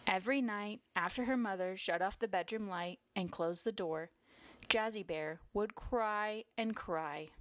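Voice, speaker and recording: Slow, deliberate woman reading a children's story. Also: mid-range voice, dry recording. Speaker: storybook narrator. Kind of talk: children's read-aloud, scripted narration.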